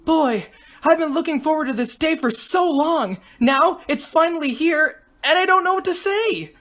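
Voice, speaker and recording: An exuberant teenage girl from a story about a reality show, acted out by a woman reader. The voice sits high and bursts out loudly, gushing and exclaiming with excitable energy. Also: normal speed. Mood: excited.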